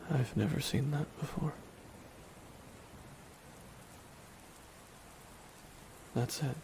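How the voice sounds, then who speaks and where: Brief: male actor as wry, softened villain for ASMR roleplay; gravelly, hushed, lingering pauses, rain behind. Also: mid-range voice, slight background noise, natural voice. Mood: somber. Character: reflective male supervillain, wry, quietly softened toward his nemesis. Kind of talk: ASMR dramatic roleplay monologue.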